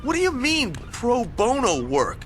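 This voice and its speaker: A man's voice: very high voice, huge pitch swings, measured pace, very noisy, exaggerated voice. A man playing a nervous, timid gang mechanic with a hesitant, jittery way of talking in a video game cutscene. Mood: frightened.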